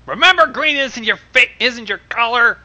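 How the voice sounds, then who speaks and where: A man's voice: very high voice, animated, moderate pace, bright, forceful tone. A man giving casual reactive commentary on a livestream, exclaiming and incredulous.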